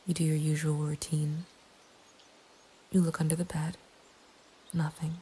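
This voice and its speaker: A woman narrating a scary story in a breathy, hushed ASMR whisper, slow and deliberate. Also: sits low, level tone, a little hiss or hum. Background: nature ambience.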